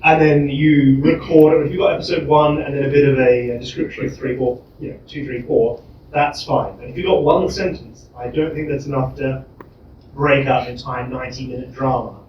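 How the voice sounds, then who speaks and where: A man's voice: medium pitch, fairly even intonation, brisk. Man in a dull, echoey distant recording. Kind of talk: informal argument at a live discussion. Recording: dull, echoey room, distant mic, faint noise.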